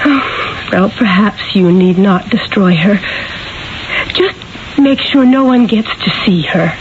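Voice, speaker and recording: A woman's voice: medium pitch, animated, slow pace. A woman acting the part of a distraught, devoted young Florentine wife in an earnest, theatrical 1970s radio drama. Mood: troubled, earnest. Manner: earnest, pleading, theatrical delivery. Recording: dull 1970s radio broadcast, faint noise.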